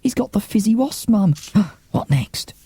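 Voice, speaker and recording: Audiobook role man performing: excitable kid, wide-eyed, urgent, soft husky tone. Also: sits high, measured pace, dry recording, pitched above the performer's own voice, heavily acted. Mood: urgent, pleading. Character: eager, earnest child, excitable and emotional.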